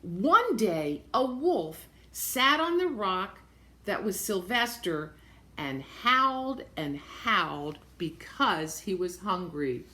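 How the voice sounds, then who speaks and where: A woman reading a picture book aloud to young children, expressively, pausing to point things out in simple words and repeating phrases. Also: mid-range voice, very animated, sharp tone. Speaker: storybook reader for young children.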